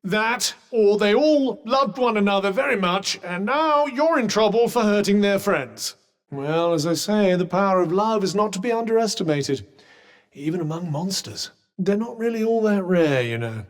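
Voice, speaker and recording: An actor playing a haughty, well-spoken talking magic cuff in a video game, with a British accent and dry, sarcastic, formal delivery. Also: male voice, sits high, animated, moderate pace. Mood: measured, wry. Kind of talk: scripted video game companion dialogue.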